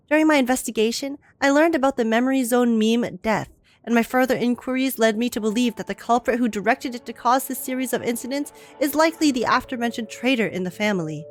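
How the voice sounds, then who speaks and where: A woman streamer playing a video game, reacting casually and reading game text aloud. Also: on the high side. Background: faint game music.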